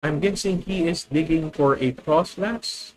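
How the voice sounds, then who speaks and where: A young man with a Filipino accent plays a card game live and talks through every move as he makes it. He often stops to correct himself and sometimes turns to speak to his viewers. His voice sits fairly high for a man. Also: measured pace, a little mellow. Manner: thinking aloud, self-correcting, addresses viewers. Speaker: streamer. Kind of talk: live gameplay commentary.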